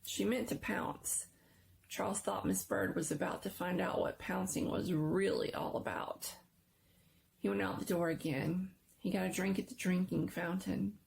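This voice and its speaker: A woman giving a dramatic, suspenseful narration of a scary story, sometimes hushed and lingering on words. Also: on the low side, fairly even intonation, measured pace, creaky, a little hiss or hum. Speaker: story reader.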